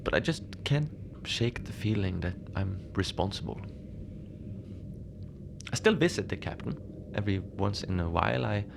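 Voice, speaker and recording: A man playing a lonely, unbothered polar station keeper, telling strange tales in a halting, understated, conversational way for a fiction podcast. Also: fairly low pitch, creaky. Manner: halting, conversational, understated storytelling with small hesitations. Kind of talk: cozy horror audio fiction monologue. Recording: steady noise behind the voice.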